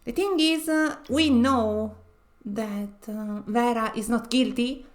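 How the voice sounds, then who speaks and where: A woman with a nasal, slightly high voice talks casually while streaming a game. She rambles in a chatty way and often breaks into laughter between phrases. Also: slow. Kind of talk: casual let's-play commentary.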